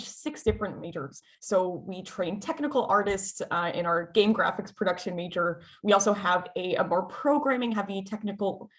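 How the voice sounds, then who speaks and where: An American woman presenting her school on a video-call webinar, upbeat and chatty, with frequent filler sounds and restarts. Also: medium pitch. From United States.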